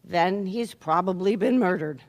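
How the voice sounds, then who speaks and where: An actress playing a cynical, brazen wife hiring a private detective in a radio mystery, with a wry, worldly manner. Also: female voice, fairly low pitch, unhurried, darkish tone, natural voice. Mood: blasé, sardonic.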